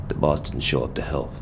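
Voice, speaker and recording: An actor playing a loyal, battle-worn American gang lieutenant, streetwise and candid with a gravelly voice, in a game audio log. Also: male voice, extremely low pitch, background noise, heavily acted. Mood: grieving, weary.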